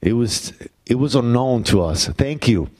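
A middle-aged man with a Puerto Rican accent, a playwright answering audience questions on a university stage into a handheld mic, halting, with many fillers. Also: medium pitch, animated, a little mellow. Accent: Puerto Rican Spanish. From Puerto Rico. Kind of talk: Q&A talk after a play.

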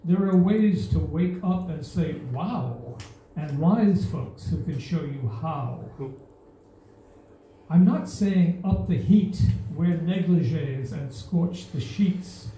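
Older woman, slow measured poetry reading, dark voice, echoey room, far-off stand mic. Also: on the low side. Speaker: open mic reader. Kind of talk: reading a rhyming poem aloud. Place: small stage in an indoor venue. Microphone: stand mic, filmed from a far camera.

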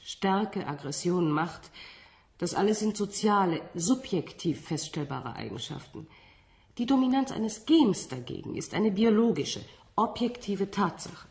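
An actress in a radio play, playing a composed woman scientist who explains things in a measured, slightly condescending way. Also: mid-range voice, lively intonation, gravelly, darkish tone. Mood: patient, superior. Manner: didactic, measured, with small rhetorical tags.

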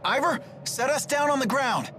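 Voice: high voice, moderate pace, noisy background, lightly acted. An actor voicing an earnest, level-headed teenage boy hero in a video game, mild and sincere. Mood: focused. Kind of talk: game voice acting. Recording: loud music over dialogue.

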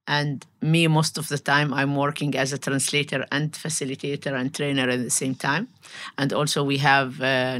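A middle-aged woman whose first language is Arabic, a trainer and translator, answering in a podcast studio and pausing to search for words. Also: rumbling low, a bit bright. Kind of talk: interview answer. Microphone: studio mic, headphones.

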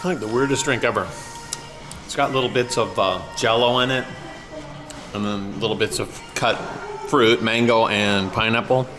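A man's voice: fairly low pitch, fairly even intonation, moderate pace, pauses often, over music. A middle-aged man chatting casually and playfully as a vlog host, talking to a camera held at arm's length. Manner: playful, joking. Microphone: action camera at arm's length.

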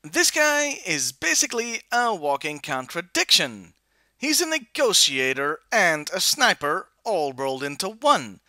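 A man narrates a scripted toy review with wry, opinionated delivery. His voice is bright and very lively in pitch, and the sound is dry and close. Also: a little slow, no echo.

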